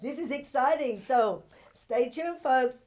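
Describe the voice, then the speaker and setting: A woman's voice: high-pitched, unhurried, darkish tone. A woman with a non-American English accent hosting a local TV talk show, bubbly and gushing as she interviews a guest and talks to viewers. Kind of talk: chatty TV interview.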